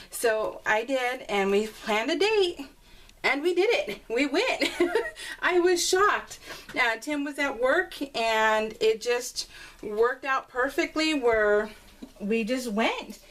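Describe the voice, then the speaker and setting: A woman's voice: on the high side, fairly bright tone. A middle-aged woman chatting casually and rambling while she works on a scrapbook layout, laughing often. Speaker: scrapbooking video creator. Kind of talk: casual voice-over while crafting.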